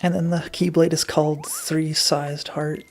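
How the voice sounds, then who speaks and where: A man with a gravelly voice, co-commentating on a video game in casual, sometimes sweary chat. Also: on the high side, level tone, unhurried, soft background music.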